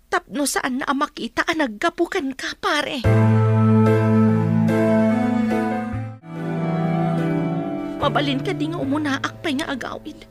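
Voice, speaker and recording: High-pitched aggrieved wife played by an actress. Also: female voice, natural voice. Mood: confiding, troubled. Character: wronged, aggrieved wife leaving her husband. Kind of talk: radio drama acting in Ilocano.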